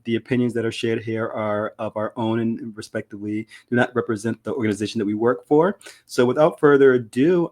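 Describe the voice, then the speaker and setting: A man's voice: medium pitch, a little mellow. A man hosting a live podcast, upbeat and friendly as he introduces the show and asks questions, with some fillers. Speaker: podcast host. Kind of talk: casual livestream podcast hosting.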